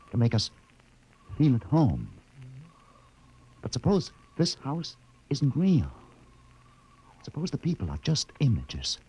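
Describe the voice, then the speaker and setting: An actor playing a somber, detached middle-aged doctor with a halting, deliberate delivery in a 1950s radio drama. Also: male voice, mid-range voice, a little mellow, slightly rough, exaggerated voice, rough recording. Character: somber, haunted scientist doctor, emotionally detached survivor. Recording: vintage 1950 radio recording, organ-like music behind.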